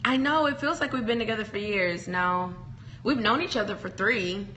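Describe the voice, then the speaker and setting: A woman's voice: medium pitch, normal speed, bright, forceful tone, a little hiss or hum, rough recording. A young woman co-hosting a casual couple's chat show, rambling and playful with frequent giggles. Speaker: podcast co-host.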